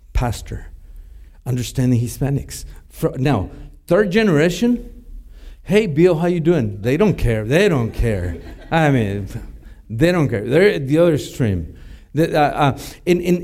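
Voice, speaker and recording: A man's voice: medium pitch, warm tone. A man from Mexico with a Mexican Spanish accent, a pastor giving a teaching talk with many fillers and restarts. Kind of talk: teaching talk to a church audience.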